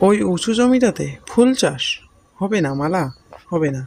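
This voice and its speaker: Man playing a high-pitched, haughty village landlord. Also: lively intonation, normal speed, a little mellow. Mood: dismissive. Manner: domineering, dismissive. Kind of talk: Bengali cartoon story voice acting.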